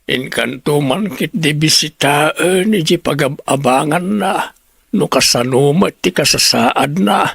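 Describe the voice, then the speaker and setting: A woman's voice: sits low, slow, gravelly, slightly rough, no echo, studio quality, exaggerated voice. A woman acting a concerned, plain-spoken family member in an Ilocano radio drama. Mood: earnest.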